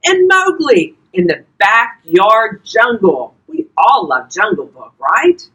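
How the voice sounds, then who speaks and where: An older woman reading a children's storybook aloud expressively, talking directly to young listeners in a husky voice. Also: medium pitch, sing-song, slow pace, fairly bright tone, rough recording. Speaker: volunteer grandparent reading to children.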